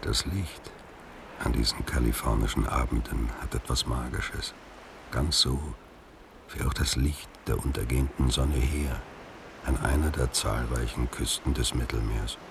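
An actor playing a wistful man recalling an old love, in measured, reflective, literary radio drama narration with a very deep gravelly voice. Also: background noise, full character voice.

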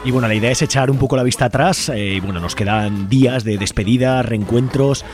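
A man's voice: mid-range voice, a little fast. Informal Spanish radio host, man, music behind. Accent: Spain Spanish. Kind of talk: casual community radio presenting. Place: busy radio studio. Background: faint music.

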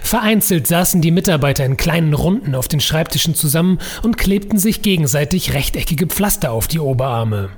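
A man's voice: a little high, lively intonation, brisk, slightly rough. A man reading scripted narration for an audio drama.

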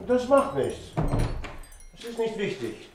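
An actor in a radio drama playing a polite, probing man with a measured, guarded manner. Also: high voice, big pitch swings, a little mellow, slight background noise, exaggerated voice. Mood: courteous. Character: polite, probing man investigating his brother's death, Mr. Bristol.

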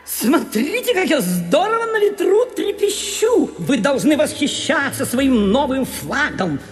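A woman's voice: sits high, sing-song, a little slow, long reverb tail. A woman playing a vain, pompous ship's mechanic who imagines himself a flag, declaiming boastfully in an audio drama. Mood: boastful, demanding. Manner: pompous, declaiming, self-admiring.

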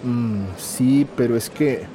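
A man's voice: medium pitch, takes it slowly, noisy background, lightly acted. An actor playing an anxious, traumatized migrant man in a radio drama, emotional, hesitant and pleading. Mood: hesitant, grateful.

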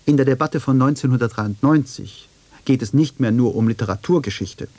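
A man's voice: medium pitch, fairly quick, warm tone, dry recording. A man narrating a scripted radio essay.

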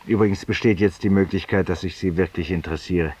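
Self-accusing ex-lawyer, radio play monologue: low, husky, ironic, confiding, theatrical. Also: male voice, fairly even intonation, fast talker, a little mellow. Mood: ironic, musing. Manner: theatrical, ironic, confiding, dropping to near whispers. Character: cynical, self-accusing male former lawyer confessing to a listener. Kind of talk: 1950s German radio drama monologue. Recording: muffled, roomy 1950s radio recording.

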